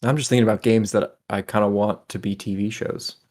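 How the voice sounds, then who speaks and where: A man speaks in a somewhat low register. His delivery is casual conversation, that of a co-host on a gaming podcast chatting with others. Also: fairly even intonation, fairly quick, darkish tone. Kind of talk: casual group chat about games and films.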